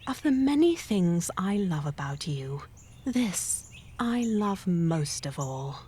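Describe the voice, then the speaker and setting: A tender young wife in an audio drama. Her husky, lowish voice moves slowly and lovingly, with a lilting melody. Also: sing-song, warm tone, exaggerated voice. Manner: tender, measured.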